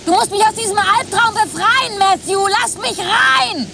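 An actress playing a frantic, demanding young woman scientist in a radio play, shouting and pleading shrilly. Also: extremely high pitch, measured pace, creaky, very noisy, heavily acted. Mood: panicked. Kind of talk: radio drama acting.

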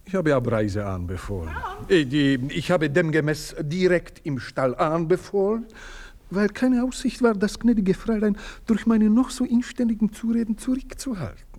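An actor playing a scheming, self-assured household servant in a radio play, speaking in a clipped, officious manner. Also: male voice, lively intonation, normal speed, a little mellow. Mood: formal. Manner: clipped, officious, bending pitch sharply.

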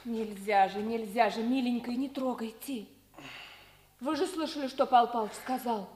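An actress playing a tender, anxious wartime nurse in a 1950s Soviet radio drama. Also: female voice, a little high, moderate pace, darkish tone, close to an everyday voice. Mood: pleading. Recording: echoey old recording, faint noise.

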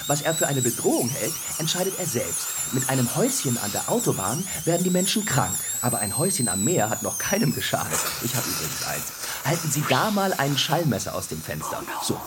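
A man's voice: mid-range voice, sing-song, a little fast, close to an everyday voice. An actor playing a chatty, matter-of-fact male dentist who blithely lectures a patient in a radio drama. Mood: breezy, lecturing. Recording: clear background noise.

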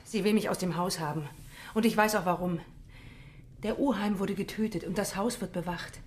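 An actress plays an anxious servant woman in a radio play. Her mid-pitched voice is fretful and confiding, with faint ambient noise behind it. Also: moderate pace, close to an everyday voice. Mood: worried. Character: Hayriye, anxious gossiping household servant woman. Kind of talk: German radio play acting.

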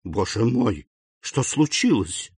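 A man voicing a terrified, trembling noblewoman who falters and pleads in hushed, breaking phrases. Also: mid-range voice, very animated, slow pace, slightly rough, no echo, heavily acted. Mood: frightened pleading.

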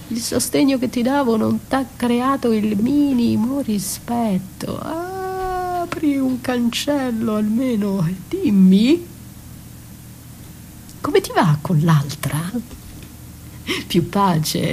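A hurt defiant wife, theatre monologue, slow n halting, intense, bit of noise behind. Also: female voice, on the high side, a little mellow. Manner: dramatic, halting, intense, dropping to breathy whispers. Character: wounded, betrayed wife, bitter and defiant. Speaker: stage actress performing a solo.

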